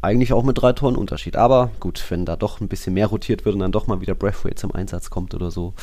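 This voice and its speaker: A man's voice: sits low, fast talker, warm tone. A man co-hosting a football podcast in casual chat, with loose, colloquial, fragmentary phrasing. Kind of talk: casual two-person sports podcast chat.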